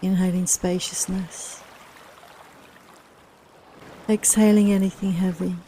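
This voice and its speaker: A woman leading a guided relaxation meditation in a soft, soothing, unhurried, reassuring manner, with long pauses between phrases. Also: on the low side, level tone, a little hiss or hum. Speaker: guided meditation and yoga nidra teacher.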